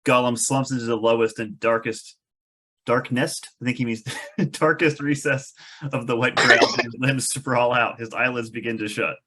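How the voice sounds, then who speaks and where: A man's voice: mid-range voice, fairly even intonation, normal speed, pushed and bright. A man reading out stage directions as narrator at a fantasy script table read, with a wry manner.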